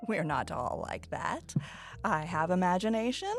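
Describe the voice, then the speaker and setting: A woman's voice: fairly low pitch, big pitch swings, measured pace, faint music behind. A woman acting in an audio drama as a poised, assertive land developer who persuades with polished, theatrical self-assurance. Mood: grandly selling.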